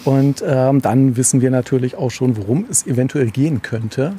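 A man's voice: mid-range voice, moderate pace, mellow. A man chatting casually on location, a little hesitant as he searches for words. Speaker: author as guest co-reporter. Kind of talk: casual chat. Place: outdoors by a road. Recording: outdoor traffic noise behind.

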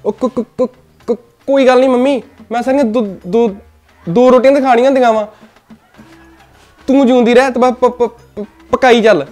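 A man's voice: very high-pitched, steady tone, moderate pace, darkish tone, soft background music. A man playing a young village son with a heavy stutter, who repeats first sounds, gets stuck mid-word, then bursts out. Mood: stuttering, pleading. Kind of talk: village comedy sketch acting.

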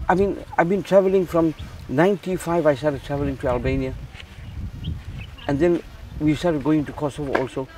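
A man's voice: a little high, a little mellow, far from the mic, a little hiss or hum, rough recording. A man from Zimbabwe who runs a charity, talking earnestly in a casual interview and often repeating words as he restarts. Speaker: charity founder.

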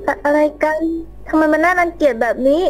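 A woman's voice: high voice, fast, faint music behind, natural voice. A woman voicing a sweet but insistent, easily hurt schoolgirl in a cartoon fan drama. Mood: hurt, disgusted.

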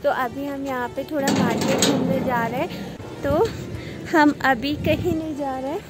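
Chatty woman vlogging outdoors, high voice. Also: steady tone, moderate pace. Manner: chatty, pointing things out to viewers. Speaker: family vlogger. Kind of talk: casual travel vlog narration. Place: outdoors on streets and in a hotel garden. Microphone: phone camera held at arm's length. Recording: background music over the voice.

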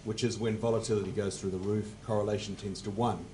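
A man in his forties answering on a conference panel at a table mic, measured and technical, his phrases fading at the ends. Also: fairly low pitch, flat delivery, warm tone, slight background noise. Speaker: panelist, fund manager. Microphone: table mic on stand.

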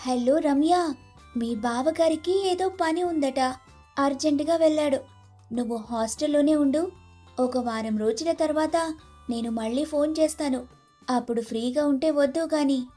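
A woman's voice: sits high, measured pace, dark tone, faint music behind. A woman voicing a scheming, complaining young daughter-in-law with a dramatic manner in a family moral story. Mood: curt, wary. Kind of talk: moral-story cartoon dialogue.

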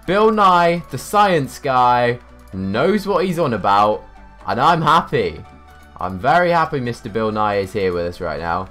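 A young man with a fairly low voice streams a game from a room at home. He thinks out loud in a casual, chatty way and reads comments from viewers. Music plays behind him. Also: sing-song, normal speed. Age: young adult. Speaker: livestreamer. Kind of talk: casual live gaming commentary, reading chat aloud. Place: indoors, small home room.

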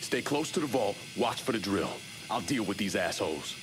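An actor playing a tough young Vietnam veteran turned criminal, curt, commanding and unflappable. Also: male voice, lowish voice, animated, moderate pace, music in the background, close to an everyday voice. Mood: steely.

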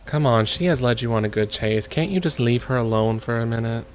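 A man commentating over gameplay in a mostly monotone voice, muttering urgings at the screen. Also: mid-range voice, brisk, a little hiss or hum. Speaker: gaming video creator.

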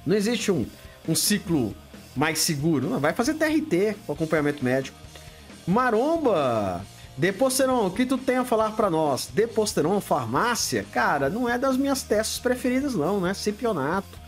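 A man's voice: high voice, big pitch swings, normal speed, faint music behind. A man in his fifties with a Brazilian Portuguese accent, hosting a casual live stream from a home studio, answering viewer questions bluntly and greeting people by name. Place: home studio room lit with colored LEDs.

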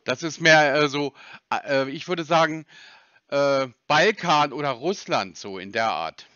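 A man's voice: a little high, measured pace, sharp tone, no room sound. A man posing as a customer on a phone call, probing and mock-naive, arguing and picking apart what he hears. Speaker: scam baiter posing as a customer.

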